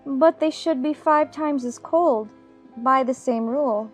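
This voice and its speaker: A woman's voice: high-pitched, unhurried, soft background music. A woman playing an earnest, polite young girl in a dramatized storybook, eager and decided as she reasons things out. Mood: puzzled. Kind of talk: dramatized audiobook acting.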